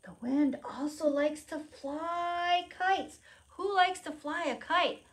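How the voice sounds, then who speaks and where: A woman presenting a preschool storytime, reading aloud and explaining in simple, expressive phrases with questions for young children. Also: sits high, slow.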